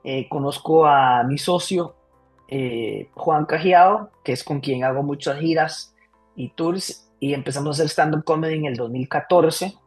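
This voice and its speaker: A man in his thirties from Costa Rica, a comedian guest telling his life story in a casual, lively interview. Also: fairly high pitch, a little slow, husky, soft background music. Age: 30s.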